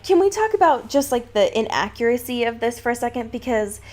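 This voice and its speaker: A woman's voice: a little high, big pitch swings, a little fast, a little mellow. A woman co-hosting a podcast, chatting casually and comedically in informal, slangy, sweary language. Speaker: podcast co-host. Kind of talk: casual, comedic book discussion chat.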